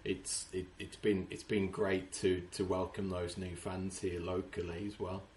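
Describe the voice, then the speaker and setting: A man's voice: low voice, flat delivery, a little slow, a little mellow, slight background noise. A man from England who runs a supporters club abroad, telling stories in a casual interview over a video call, often restarting his phrases. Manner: chatty storyteller with frequent restarts.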